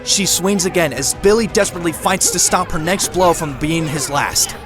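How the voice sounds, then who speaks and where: Higher-pitched male action narrator over music. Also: normal speed. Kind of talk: scripted action-drama narration. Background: dramatic music.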